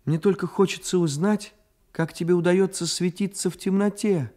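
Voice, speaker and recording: A man's voice: high voice, steady tone, moderate pace, darkish tone, pitched above the performer's own voice, lightly acted. A man voicing a curious, coquettish young girl with a timid, coaxing way of speaking in a radio story reading.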